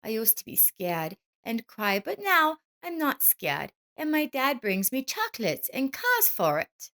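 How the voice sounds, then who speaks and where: A woman's voice: a little high, wildly swooping pitch, unhurried, pushed and bright, voice pushed up, heavily acted. An innocent little boy, played by a woman narrator, speaks in a raised, swooping voice. He is solemn, sweet and affectionate, chattering in simple childlike sentences as in an audio storybook. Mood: innocent, chatty.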